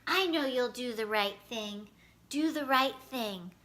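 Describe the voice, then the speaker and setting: Steady, principled storybook dad, voiced by a woman, unhurried. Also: a little high. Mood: gently serious. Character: steady, principled, trusting father. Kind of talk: children's storybook character voice.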